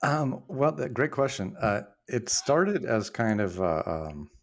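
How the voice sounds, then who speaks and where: A man in his sixties, a former journalist being interviewed, telling stories in a reflective, halting way with frequent restarts. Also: mid-range voice, sing-song. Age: 60s. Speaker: podcast guest, former journalist. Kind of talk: casual storytelling interview.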